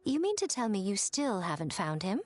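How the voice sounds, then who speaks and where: A woman's voice: medium pitch, very animated, a little fast, very noisy, close to an everyday voice. A woman voicing a sly, teasing, elegant shrine lady in a video game, playful and poised in her delivery. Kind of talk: animated video game voice acting.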